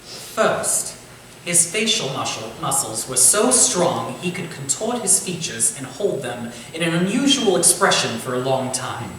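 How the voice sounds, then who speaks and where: Guy acting as a formal victorian theatre manager, critical and witty, highish voice, staged reading from far off in an echoey room. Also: very animated, a little slow, a bit bright. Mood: confiding. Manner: formal, articulate, dry wit. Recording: roomy, distant stage recording, faint noise.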